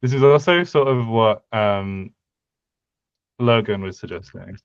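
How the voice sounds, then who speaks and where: A young man talks slowly and haltingly, circling his ideas with fillers and restarts. His voice is smooth and fairly low. The sound is dry and a little dull. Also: no echo. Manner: hesitant, lots of fillers and restarts. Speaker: podcast co-host. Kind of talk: casual conlang design discussion.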